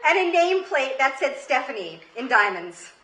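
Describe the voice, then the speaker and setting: High, bright-voiced woman telling stories on stage. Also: very animated, moderate pace. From Queens, New York, United States. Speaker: comedy show host and storyteller. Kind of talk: live comedic storytelling read from a script. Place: live comedy stage before an audience. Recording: roomy live stage recording, faint noise. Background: audience ambience and faint noise.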